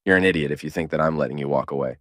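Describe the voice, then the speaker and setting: A man's voice: extremely low pitch, rapid-fire, a bit bright, no echo, studio quality, pitched below the performer's own voice. A man voicing a stubborn, protective teenage boy in a fanfiction audiobook, pressing insistently with questions. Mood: determined. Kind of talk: podfic voice acting.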